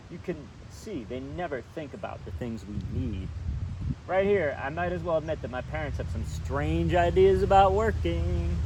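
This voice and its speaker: A man reading a story aloud informally and chattily, with asides of his own, recorded outdoors. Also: medium pitch. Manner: chatty, easily sidetracked, commenting as he reads. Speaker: storybook reader. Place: outdoors by a river. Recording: distant outdoor mic with steady background noise.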